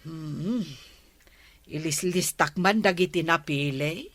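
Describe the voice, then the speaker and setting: An earnest captain's wife. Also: female voice, sits low, slow, fairly bright tone, no echo, full character voice. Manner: polite, earnest pleading. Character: earnest village captain's wife, adult woman. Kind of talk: radio comedy drama acting.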